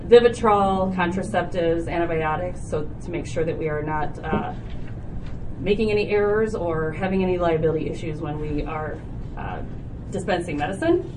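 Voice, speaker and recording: A woman presents a public health budget to a county committee, walking through the figures item by item with frequent fillers. She sounds set back from the microphone, and a steady noise runs under her voice in the meeting room. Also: mid-range voice. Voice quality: loud voice. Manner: explanatory, organized, with frequent "so" and "uh". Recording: distant, noisy room recording.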